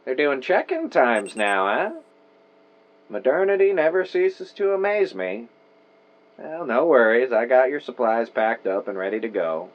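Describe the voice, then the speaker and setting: A man's voice: mid-range voice, very animated, unhurried, lo-fi sound. An actor playing an old small-town storekeeper in an audio drama, wry, friendly and folksy in his phrasing. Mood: wry, cheerful. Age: older.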